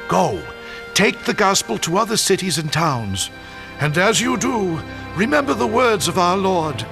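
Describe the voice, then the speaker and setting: An actor playing a steady, solemn apostle who leads believers with measured authority in an audio drama. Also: male voice, on the high side, vocal fry, exaggerated voice. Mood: firm, urging.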